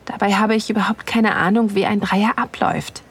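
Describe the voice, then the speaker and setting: Woman playing an excited wife, intimate and breathy. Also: mid-range voice, a little fast. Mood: nervous, excited. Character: excited, aroused wife narrating her own erotic adventure. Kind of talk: intimate first-person audio drama narration.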